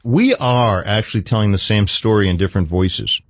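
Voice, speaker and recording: A man interviewing in a therapy style, deliberate and analytical, pausing as he offers interpretations. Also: on the low side, dry recording, clean studio sound. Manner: measured, deliberate, analytical, with halting pauses. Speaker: psychologist interviewer.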